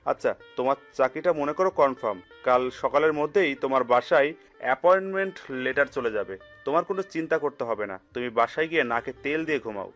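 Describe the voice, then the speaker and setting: A man's voice: mid-range voice, lively intonation, a little fast, a bit bright, natural voice. A man playing a kindly, generous middle-aged uncle in an audio story, hearty and reassuring. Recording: music under the voice.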